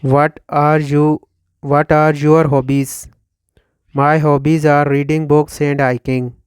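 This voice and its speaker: A man in his thirties from Pakistan with a Pakistani accent and Urdu as his first language, teaching English by slowly reading questions and answers and repeating each one for learners. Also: on the high side, flat delivery, smooth, no echo. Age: 30s. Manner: slow, deliberate, repeats each line for learners. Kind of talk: slow scripted question-and-answer drill.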